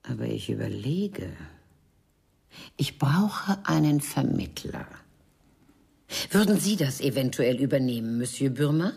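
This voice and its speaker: Mature bourgeois madame for radio crime play: extremely low, husky, disdainful, sweeping dramatic intonation. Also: female voice, lively intonation, unhurried, long pauses, full character voice. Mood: bitter, composed. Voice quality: husky, breathy. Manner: haughty, theatrical, swooping between indignation and hushed tones. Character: haughty mature Parisian bourgeois madame, disdainful, betrayed wife.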